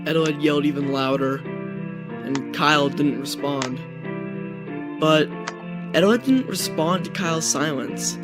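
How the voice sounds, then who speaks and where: A young man tells a scripted spooky story in the first person. His voice sits a little high for a man. Guitar music runs clearly underneath the narration. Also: unhurried, pauses often.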